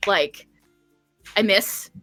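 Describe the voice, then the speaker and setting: A woman chatting casually as a guest game developer, explaining things in a halting, thinking-aloud way. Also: fairly bright tone, soft background music.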